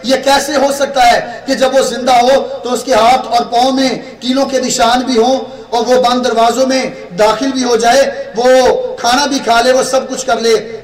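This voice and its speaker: High-voiced young Pakistani pastor preaching. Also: male voice, steady tone. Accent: Pakistani Urdu. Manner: rhetorical questions, drawn-out emphatic phrasing. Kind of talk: sermon. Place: small church room with red curtain, indoors. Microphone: stand mic at pulpit. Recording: echoey room, voice from far off.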